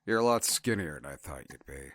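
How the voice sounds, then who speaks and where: A man playing a sardonic, foul-mouthed, fiercely protective wanderer from another reality in an audio drama, halting and muttering. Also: extremely low pitch, expressive, a bit bright, no echo, studio quality. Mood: wry, guarded.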